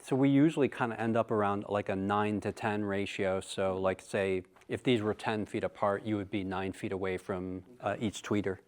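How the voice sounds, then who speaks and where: Low-voiced man explaining casually. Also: fairly even intonation. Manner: measured, hedging advice. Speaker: hi-fi showroom staff. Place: hi-fi showroom, indoors.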